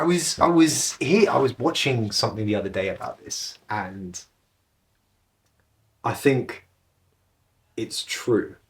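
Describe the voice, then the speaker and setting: A young man from England, chatting casually as a podcast co-host and rambling with restarts as he searches for words. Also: on the low side. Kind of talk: casual chat.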